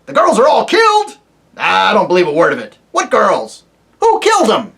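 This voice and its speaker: A reader voicing a very old, deaf and irritable male dwarf who talks loudly and mishears words, in a storybook reading. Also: mid-range voice, wildly swooping pitch, normal speed, creaky, pitched above the performer's own voice, exaggerated voice. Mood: testy, loud. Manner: loud, testy, mishears words.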